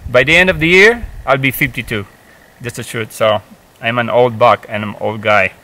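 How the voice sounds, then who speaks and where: A man's voice: medium pitch, moderate pace, sharp tone, rough recording. A man in his fifties talking casually to camera while sitting outdoors in a forest, hesitant, with many fillers and restarts. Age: 50s. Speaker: hiking vlogger. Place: forest, outdoors, seated on a rock.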